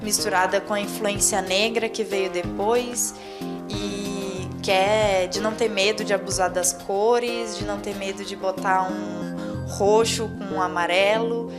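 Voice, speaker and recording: A woman's voice: medium pitch, fairly even intonation, unhurried. A Brazilian woman with a Brazilian Portuguese accent, sharing her impressions in a thoughtful, admiring way. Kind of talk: casual reflective commentary. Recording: loud background music. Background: music.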